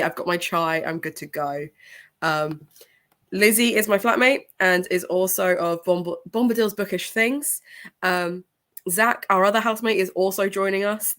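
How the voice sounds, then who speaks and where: A woman's voice: lowish voice, a bit bright. Congested woman chatting on a livestream. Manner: chatty, greets viewers by name, laughs often. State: congested with a sore throat. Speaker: book channel host. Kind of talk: casual livestream chat.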